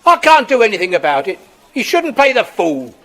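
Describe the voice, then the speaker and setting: A man's voice: sits high, huge pitch swings, brisk, fairly bright tone, heavily acted. An actor playing a hostile young man with a curt, dismissive manner in a children's audio drama. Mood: angry, dismissive. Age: young adult. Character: surly, hostile young man staying at a hotel.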